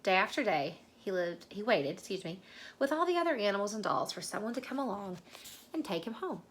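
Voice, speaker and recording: A woman reads a children's story aloud. Her pitch is medium and moves in a lively way, with dramatic phrasing and hushed asides to the listener. There is faint noise in the background. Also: a little fast. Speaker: storybook reader.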